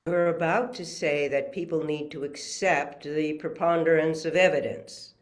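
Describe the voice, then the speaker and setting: A man's voice: fairly high pitch, fairly even intonation, normal speed, lightly acted. A man reading a thoughtful audience member in scripted conversational dialogue. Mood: reflective. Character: thoughtful male audience member discussing the case. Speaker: actor in a screenplay table read.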